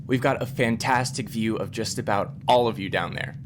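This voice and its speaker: A man's voice: lowish voice, moderate pace, background noise, natural voice. An actor playing an earnest, slightly flustered male scientist fronting a broadcast in an audio drama. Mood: earnest, nervous. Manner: earnest, presentational.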